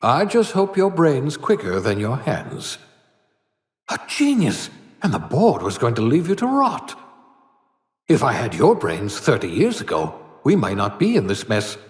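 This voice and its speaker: Game role: eccentric older male genius, wry and excitable, wildly swooping delivery, echoing reverberant space. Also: medium pitch, a little slow. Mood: urgent, admiring. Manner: theatrical, wry, teasing, quaintly wordy. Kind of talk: video game character acting. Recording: echoey room with long reverb.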